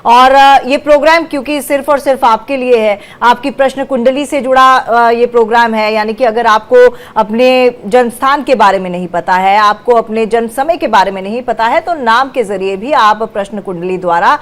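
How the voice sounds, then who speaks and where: A woman hosting a live TV astrology call-in show, brisk and repeating points for viewers, coughing now and then. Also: fairly high pitch. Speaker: news channel anchor. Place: TV studio.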